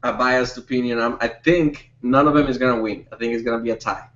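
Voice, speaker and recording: A man's voice: mid-range voice, steady tone, quick pace, fairly bright tone. A man in a casual group discussion, offering his view a little tentatively and repeating words. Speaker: discussion panelist. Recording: echoey room, dull lo-fi audio.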